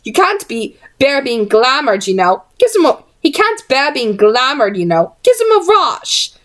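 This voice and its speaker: A woman voicing a smug, cheerful gentleman. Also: a little high, very animated, measured pace. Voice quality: dreadfully cheerful.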